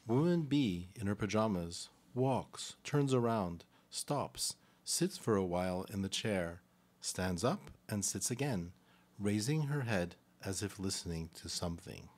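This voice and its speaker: A man presenting and narrating a live radio play in a halting, deliberate way. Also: on the low side, lively intonation, darkish tone. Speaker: presenter and stage-directions reader.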